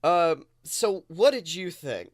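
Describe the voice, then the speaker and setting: A man co-hosting a podcast, chatting casually about films in an animated, sweary storytelling way. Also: on the high side, takes it slowly, no echo, clean studio sound. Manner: animated, profane storytelling with quoted reactions. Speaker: podcast co-host. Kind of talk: casual film discussion chat.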